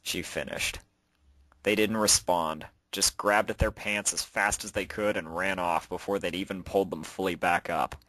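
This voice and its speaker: A man's voice: low-pitched, fairly even intonation, moderate pace, a bit bright, dry recording. A man reading out a story as its narrator, in a scripted storytelling style. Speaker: narrator and fan fiction author.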